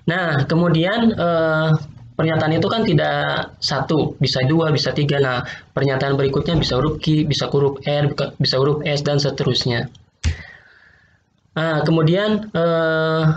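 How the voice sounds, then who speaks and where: Guy teaching logic online, slightly high voice, patient, keeps repeating stuff, dull lo-fi sound. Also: slight background noise. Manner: patient, repetitive explaining with many "ya" and "gitu".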